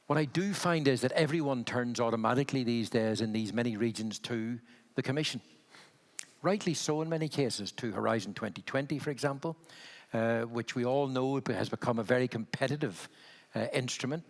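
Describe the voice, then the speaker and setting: A gravelly-voiced man in his sixties speaks with a Belfast accent. He talks candidly at a conference panel, with a wry edge and frequent restarts. His pitch sits in the middle range. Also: fairly quick, long reverb tail. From Northern Ireland.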